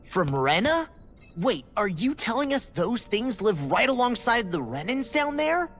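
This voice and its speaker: An actress playing a blunt, hot-tempered young adventurer in a video game, direct and challenging. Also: female voice, a little high, big pitch swings, a little slow, faint music behind. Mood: skeptical. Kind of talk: video game cutscene voice acting.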